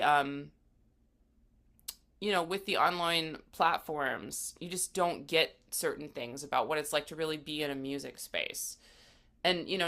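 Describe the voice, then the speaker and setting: Low-pitched woman with vocal fry. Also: pushed and bright. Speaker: interview guest, performing musician. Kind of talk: casual interview conversation.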